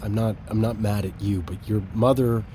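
A man's voice: steady tone, moderate pace, natural voice. An actor playing a harried, short-tempered American father in middle age, stammering and evasive as he hides his worry. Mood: apologetic. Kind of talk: naturalistic audio drama acting. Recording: clear car engine rumble behind. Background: car interior, traffic ambience.

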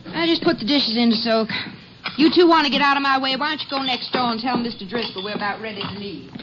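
A woman's voice: on the high side, quick pace, close to an everyday voice. An actress playing a patient, soothing mother in a 1950s radio drama. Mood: motherly. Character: patient middle-aged mother and housewife. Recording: dull vintage radio recording with hiss.

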